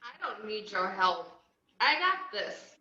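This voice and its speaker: A woman's voice: medium pitch, sing-song, slow pace, sharp tone, full character voice. A woman playing a drunk, heartbroken, brash young clown villain in an amateur script read, loose and erratic over a video call. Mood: drunk, dazed. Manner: loose, erratic, swinging from mumbles to whoops. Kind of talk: amateur screenplay table read. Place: home room on a group video call. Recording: roomy, dull video-call audio.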